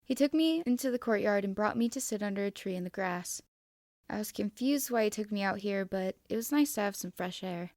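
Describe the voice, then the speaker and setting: A woman reading fan fiction aloud as expressive first-person narration. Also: medium pitch, fairly quick, no room sound, studio quality.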